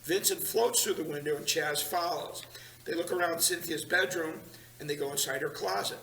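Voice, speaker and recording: A man's voice: on the high side, moderate pace, creaky, sharp tone. A man narrating scripted stage directions at a table read in a large meeting room. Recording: echoey room, distant mic, hiss.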